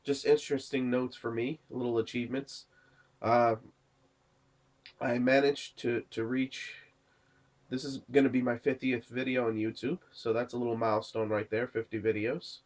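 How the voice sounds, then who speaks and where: A man's voice: mid-range voice, little pitch movement, moderate pace. A young man who is sick, coughing now and then, hosting a casual movie review with many fillers and restarts. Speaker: movie reviewer, channel host.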